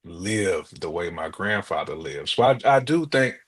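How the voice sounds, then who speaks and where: American guy on a podcast, kinda low and raspy, chatty, slangy, acts out quotes. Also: expressive, unhurried. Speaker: podcast co-host. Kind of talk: casual podcast conversation.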